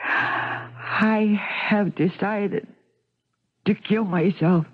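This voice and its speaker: An actress playing a distraught, suicidal wife in 1970s radio drama, halting, rambling and bitterly flippant. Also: female voice, mid-range voice. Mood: woozy, insistent. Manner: halting, rambling, self-questioning. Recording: muffled, thin 1970s radio broadcast.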